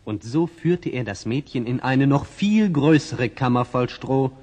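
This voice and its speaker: A man's voice: mid-range voice, lively intonation, unhurried, darkish tone. A man narrating a fairy tale in the scripted storytelling style of a 1960s audio play. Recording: long reverb on old record.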